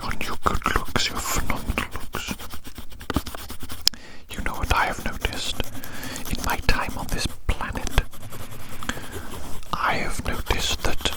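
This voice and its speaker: An ASMR performer whispering breathily right up close to the microphone in a slow ramble, softly repeating phrases.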